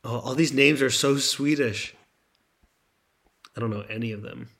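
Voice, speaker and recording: Young man, podcast co-host, casual joking chat, rambling, handheld mic, medium pitch. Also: moderate pace, vocal fry, a bit bright. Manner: rambling, joking, trails off mid-thought. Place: in France, apart from co-host. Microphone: handheld microphone.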